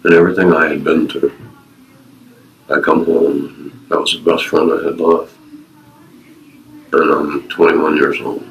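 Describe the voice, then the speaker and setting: Older navy vet guy in his 50s, really low voice, earnest life advice in short punchy lines. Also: level tone, measured pace, vocal fry, long pauses, lo-fi sound. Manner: earnest, emphatic, short repeated phrases. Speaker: retired US Navy veteran being interviewed. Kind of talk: personal storytelling and life advice to young interviewers.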